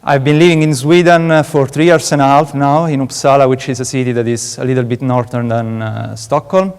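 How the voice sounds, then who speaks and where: A young man with an Italian accent presents his research at a conference, pausing often and filling gaps with hesitant sounds. His voice is smooth and resonant at a middle pitch, carried by the long reverb of a large hall. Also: fairly even intonation, a little mellow. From Italy. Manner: hesitant, frequent filler sounds. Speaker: PhD student presenting research. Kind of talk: conference talk.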